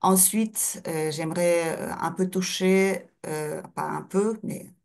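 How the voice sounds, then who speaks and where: A woman's voice: lowish voice, takes it slowly, creaky, darkish tone. A middle-aged woman, giving an introductory lecture as a guest speaker, hesitating as she searches for words. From Russia and Ukraine.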